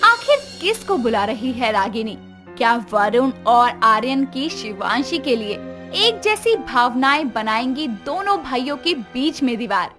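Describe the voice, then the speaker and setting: A woman's voice: a little high, big pitch swings, measured pace, a bit bright, faint music behind. A woman narrator reading a scripted dramatic story.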